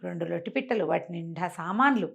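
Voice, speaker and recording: A woman telling a folk tale in an animated, conversational way, acting out the characters' exclamations. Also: on the low side, fast, vocal fry, breathy edge. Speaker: storyteller. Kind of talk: animated oral folk storytelling to a listener.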